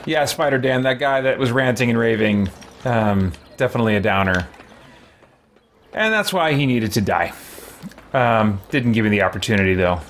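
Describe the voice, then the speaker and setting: A middle-aged man giving casual gameplay commentary, thinking aloud as he deliberates over his choices. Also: medium pitch, a little hiss or hum. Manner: musing, deliberating out loud. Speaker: video game streamer. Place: indoor room with shelves.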